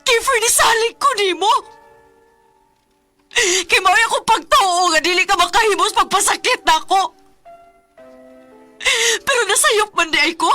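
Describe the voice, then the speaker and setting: A woman's voice: extremely high pitch, measured pace, long pauses, pushed and bright, faint music behind, exaggerated voice. An actress with a Filipino accent playing a trusting young girlfriend who gets hurt, in a radio drama. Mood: hurt, angry. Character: soft-spoken trusting young girlfriend, later betrayed and heartbroken.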